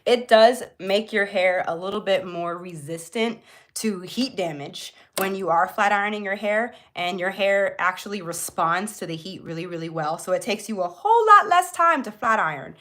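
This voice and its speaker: A woman speaks at an average female pitch. She is hosting a live beauty tutorial in a casual style. Her delivery is informal and chatty, addressed directly to the people watching. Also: expressive, normal speed, a bit bright. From born in Jamaica, grew up in Florida, US. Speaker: beauty livestream host.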